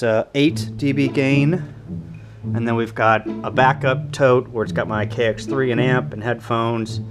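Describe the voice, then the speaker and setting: A man doing a casual show-and-tell to camera in a halting, list-like delivery. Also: mid-range voice. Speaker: vlogger.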